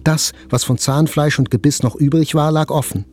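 An actor playing an attack survivor, telling events in a sober, precise, scripted literary narration. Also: male voice, on the high side, steady tone, normal speed, a little mellow, close to an everyday voice. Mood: sober, detached.

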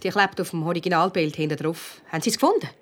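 A reserved, official-sounding woman played by an actress as a museum director in a radio play. Her voice sits fairly low and stays formal. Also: very fast, close to an everyday voice. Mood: formal, startled.

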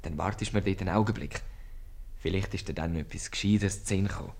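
Eager low-voiced Swiss young suitor. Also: male voice, fast talker, darkish tone. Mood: urging. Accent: Swiss German. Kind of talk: 1950s radio comedy acting in dialect.

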